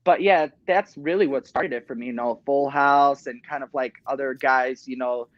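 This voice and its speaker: A man's voice: steady tone, normal speed, no room sound, dull audio. A sixteen-year-old boy, a guest tribute artist, chatting casually with lots of "you know" fillers from a small home studio over a video call. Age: teens. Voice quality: high talking voice. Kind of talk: casual interview chat.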